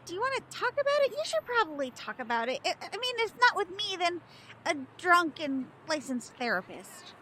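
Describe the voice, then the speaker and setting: A woman's voice: very high-pitched, big pitch swings, brisk, pushed and bright, slight background noise, heavily acted. A woman voicing a bubbly, theatrical sidekick creature who adores musicals, in an audio drama. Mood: coaxing, upbeat.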